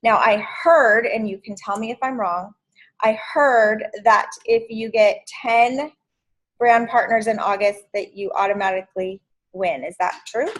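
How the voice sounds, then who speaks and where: A woman's voice: medium pitch, a little slow, a bit bright, poor audio. A woman in her fifties hosting a sales training video call, chatty and encouraging. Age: 50s. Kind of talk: casual video call meeting.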